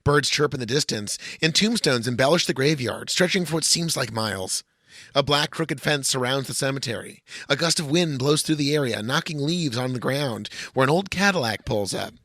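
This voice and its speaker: A man's voice: medium pitch, lively intonation, normal speed, a bit bright, no echo. A man co-hosting a podcast, bantering casually and reading aloud with a sardonic edge. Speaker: podcast co-host.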